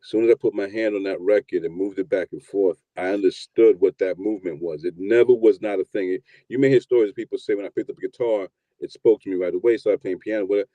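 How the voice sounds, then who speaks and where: A man in his fifties from New York telling his story in a relaxed, rambling interview, often repeating words as he starts. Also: on the low side, fast talker, hardly any pauses, dry recording, highs cut off. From New York, USA. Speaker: guest, producer and DJ.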